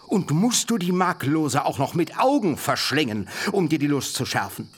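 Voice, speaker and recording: A man's voice: a little high, sing-song, normal speed, slightly rough. An actor playing a coarse, domineering old sheep breeder who is the bride's father, blunt and mocking, in a German radio drama. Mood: indignant scolding. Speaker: character in a radio play.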